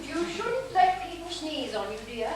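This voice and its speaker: High-voiced, haughty society wife played by a woman. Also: sing-song, measured pace, exaggerated voice. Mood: haughty, protective. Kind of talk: amateur stage comedy. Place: small theatre stage, far from mic. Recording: distant, echoey, clearly noisy theatre recording.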